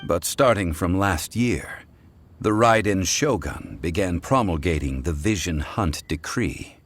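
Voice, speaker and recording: An actor playing a calm, dignified, erudite ancient god in human form, speaking formally and with measured eloquence in a game cutscene. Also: male voice, sits low, full character voice. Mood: solemn, explanatory. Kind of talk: scripted game cutscene dialogue. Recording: background music under the dialogue.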